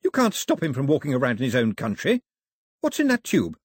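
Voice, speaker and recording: A man's voice: mid-range voice, huge pitch swings, fairly quick, husky, pitched above the performer's own voice. A man reading aloud and voicing a headstrong, fiery young woman who speaks passionately and defiantly.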